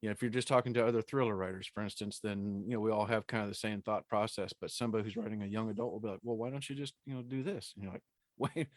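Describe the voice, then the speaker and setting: A man's voice: lowish voice, steady tone, fast talker, no room sound. A middle-aged man hosting a podcast, talking casually as he interviews a guest. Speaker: podcast host.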